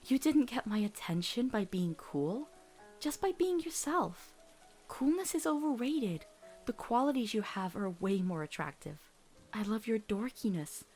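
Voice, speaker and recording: A woman's voice: a little high, darkish tone, natural voice. A woman voicing a frank, devoted teenage girlfriend in a cartoon comic dub, affectionately teasing and direct. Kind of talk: emotional animated comic dub acting.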